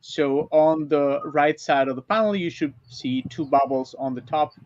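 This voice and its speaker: A man moderates an online panel in a somewhat high voice. His delivery is halting, and the sound is dry and a bit muffled. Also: a little mellow, no echo. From Argentina. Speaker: panel host. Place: converted school bus, video call.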